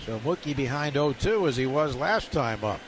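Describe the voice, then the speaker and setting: A man's voice: mid-range voice, lively intonation, moderate pace. A man doing live radio play-by-play commentary of a baseball game from a stadium booth. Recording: noisy outdoor stadium ambience.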